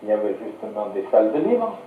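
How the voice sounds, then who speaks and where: A man answering a radio interview as a curator, halting and searching for words, on a 1950s archive recording. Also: fairly low pitch, sing-song, echoey room, far from the mic, narrow, tinny audio, a little hiss or hum. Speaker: museum curator being interviewed.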